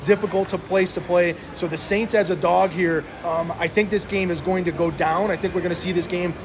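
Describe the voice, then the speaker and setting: A man's voice: high voice, fairly even intonation, a little fast, noisy background. A man co-hosting a sports betting podcast, talking through games casually with frequent hesitations.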